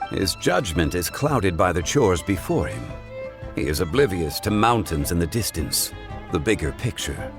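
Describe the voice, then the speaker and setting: A courtly, patient sage from a video game, eloquent and formal in every phrase. His voice is very deep, with expressive rises and falls, over faint background music. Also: normal speed. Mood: sage, composed. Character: calm, wise, courtly gentleman scholar, patient and thoughtful. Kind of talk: video game character acting.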